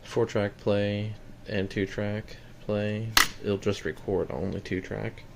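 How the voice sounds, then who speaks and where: A man's voice: lowish voice, flat delivery, takes it slowly, vocal fry. A man giving a casual, matter-of-fact walkthrough of equipment he is selling, plain-spoken, in a noisy home-video recording. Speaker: seller demonstrating equipment.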